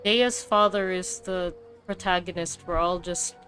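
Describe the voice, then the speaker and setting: A woman's voice: on the low side, fairly even intonation, unhurried, a bit bright, faint music behind. A woman game streamer giving casual, informal, sympathetic commentary on a playthrough.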